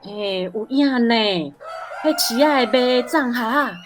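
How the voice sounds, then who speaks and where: A woman's voice: on the high side, slow pace. An actress playing a nosy, agreeable village neighbour woman with a Taiwanese accent in a radio drama, chatty and full of interjections. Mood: agreeing, chatty.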